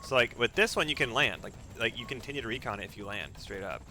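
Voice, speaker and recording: A man's voice: medium pitch, lively intonation, quick pace, bright, forceful tone, a little hiss or hum. A man giving live speedrun commentary as he plays, narrating his own moves, repeating phrases and using mild exclamations instead of swear words. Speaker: video game streamer and speedrunner.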